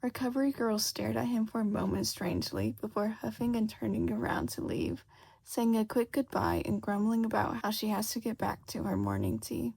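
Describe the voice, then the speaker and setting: A woman's voice: fairly high pitch, lively intonation, normal speed, vocal fry, a little mellow, breathy edge. A woman reading a fan fiction story aloud as scripted narration. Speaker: fan fiction audiobook reader.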